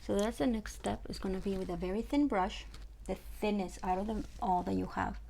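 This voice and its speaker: Woman, Spanish accent, young adult, casual makeup tutorial talk, gravelly fry, faint background noise. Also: mid-range voice, a little fast. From Ecuador. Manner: chatty, relaxed, lots of fillers. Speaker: makeup artist.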